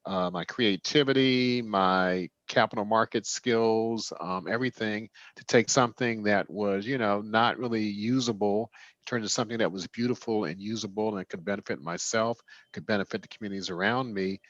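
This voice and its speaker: A man's voice: lowish voice, moderate pace, a bit bright, no echo, muffled recording. A man in his sixties from Washington, D.C., answering interview questions conversationally as a real estate developer, explaining things through personal anecdotes. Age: 60s.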